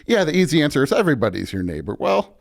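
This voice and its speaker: A man's voice: on the high side, very animated, fast, creaky. A man speaking as a pastor and podcast guest in a casual, reflective interview, often restarting his sentences in an informal, self-deprecating way.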